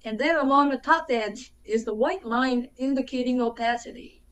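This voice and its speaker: Female narrator, high and crisp, for scripted tutorial lines. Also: normal speed, fairly bright tone, rough recording. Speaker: AI voice changer avatar.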